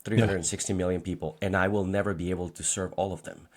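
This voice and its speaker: A man around forty speaks in a deep, low voice with a Colombian accent, a native Spanish speaker. He talks conversationally as a guest, in the manner of personal storytelling. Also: fairly even intonation, quick pace. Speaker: podcast guest, financial agent.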